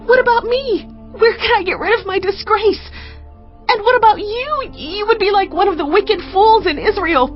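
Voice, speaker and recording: An actress playing a distressed young princess who pleads desperately, in a dramatized audiobook. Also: female voice, very high-pitched, normal speed. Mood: desperate, pleading. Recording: slightly dull audio with music bed.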